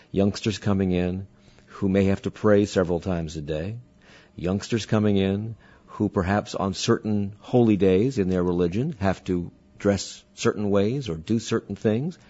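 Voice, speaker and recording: Deep husky male talk host. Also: darkish tone, muffled recording. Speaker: radio talk show host. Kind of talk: interview discussion.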